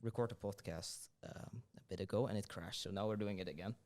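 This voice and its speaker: A man's voice: lowish voice, brisk, darkish tone. A young man hosting a podcast, upbeat but hesitant, with many restarts. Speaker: podcast host. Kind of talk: casual podcast interview.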